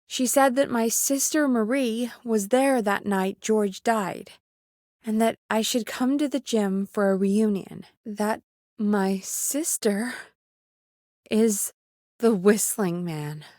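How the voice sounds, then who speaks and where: An actress playing an anxious, caring young woman who produces a radio show in a video game, conversational and expressive with a wry, exasperated edge. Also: mid-range voice, takes it slowly, no echo, clean studio sound, close to an everyday voice. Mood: shaken, confessing. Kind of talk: naturalistic video game dialogue acting.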